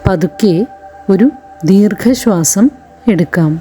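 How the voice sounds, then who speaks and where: A woman guiding a meditation in a gentle, soothing way, in short phrases with long pauses. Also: medium pitch. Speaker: meditation guide. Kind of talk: guided meditation narration. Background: background music.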